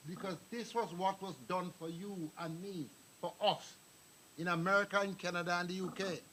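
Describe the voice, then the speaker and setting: A man's voice: sits high, a bit bright, slight background noise, low-quality recording. An older man giving a rambling, anecdotal address to a community meeting, calling on people in the room. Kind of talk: public address to an audience. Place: meeting hall.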